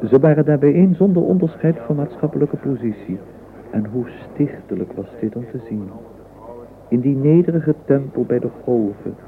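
A man narrating a literary radio play. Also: mid-range voice. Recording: muffled phone-like old radio recording, hissy.

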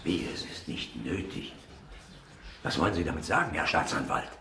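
A stern presiding judge in a radio drama. He interrogates with authority and a lively, varied pitch, in a roomy space with faint noise. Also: normal speed, full character voice. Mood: astonished. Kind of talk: German radio drama acting. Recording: echoey courtroom with background noise.

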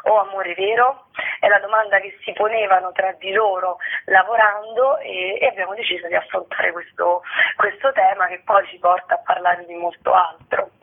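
A woman's voice: medium pitch, steady tone, moderate pace, husky. A woman explains her work in a radio interview, choosing her words reflectively. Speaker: theatre director being interviewed. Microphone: telephone. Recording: narrow tinny phone line.

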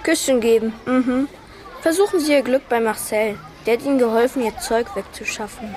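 An actress as a saucy, wary local in a radio play. Her voice is on the high side, with busy crowd sound behind her. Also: moderate pace, darkish tone, lightly acted. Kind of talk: radio drama dialogue.

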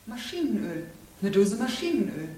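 An actress in a German radio crime play playing a composed, knowing sister-in-law, pointed and coaxing in manner. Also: female voice, a little high, big pitch swings, normal speed, mellow. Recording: roomy echo, audible background noise.

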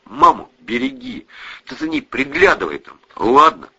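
A man voicing a despairing, gruffly affectionate middle-aged father with a halting, subdued manner in an audiobook. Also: extremely high pitch, wildly swooping pitch, bright, forceful tone, muffled recording, pitched above the performer's own voice, heavily acted. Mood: tender.

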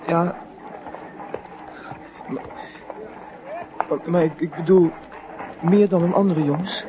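An actor playing an earnest, shy schoolboy in a radio play, speaking hesitantly and haltingly. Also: male voice, fairly high pitch, steady tone, dull audio, noisy background, natural voice. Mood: shy, tender. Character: earnest, shy schoolboy in love, dutiful son.

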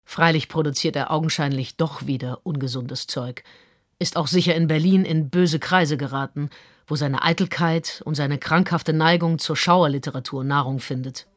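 A woman's voice: low-pitched, fairly even intonation, moderate pace. A woman playing a prim, judgemental older aunt in a radio drama, reading out her report with precise disapproval. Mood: disapproving.

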